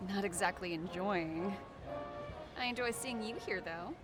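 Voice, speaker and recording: A woman voices a young female friend in a detective game. She is chatty and wry, with a playful streak and a middle-range voice. Music sits clearly behind her. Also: mid-range voice, measured pace, natural voice. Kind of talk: video game voice acting.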